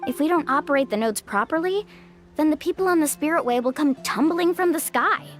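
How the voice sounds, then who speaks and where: A woman's voice: high voice, expressive, fairly quick, soft background music. A woman playing a brave, easygoing young woman adventurer in a fantasy video game, confident, reassuring and upbeat. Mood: composed, instructive. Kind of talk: animated video game voice acting.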